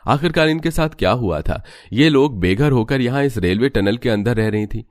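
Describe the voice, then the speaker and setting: A man's voice: mid-range voice, animated, normal speed, no echo, clean studio sound. A man narrating a scripted cartoon story in a dramatic storytelling style.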